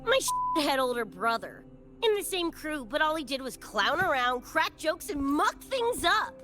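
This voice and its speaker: A woman's voice: high voice, sing-song, moderate pace, over music. A woman playing a brash, scrappy young cyberpunk gang member in a game, with cocky, slangy talk and a wistful side. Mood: flippant, bitter. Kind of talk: animated game character dialogue.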